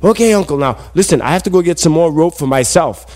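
A sly young nephew from a folktale, played by a male storyteller. His pitch is fairly high and moves around a lot. He coaxes coolly, stating wild claims as plain fact. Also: expressive, quick pace, a little mellow, natural voice. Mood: slyly reassuring. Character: sly trickster nephew, cool and persuasive.

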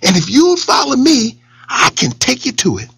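A storyteller voicing a small, sly male rabbit trickster who stammers and talks in a fast pleading patter. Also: sits high, huge pitch swings, creaky, fairly bright tone, husky, no echo, clean studio sound, pitched above the performer's own voice, heavily acted. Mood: nervous.

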